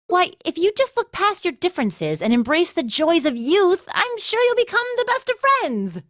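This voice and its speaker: A woman's voice: very high voice, huge pitch swings, moderate pace, fairly bright tone, no echo, clean studio sound, exaggerated voice. An actress playing a breezy, teasing young female academy instructor in an anime game dub, playful and casual in manner. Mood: wry.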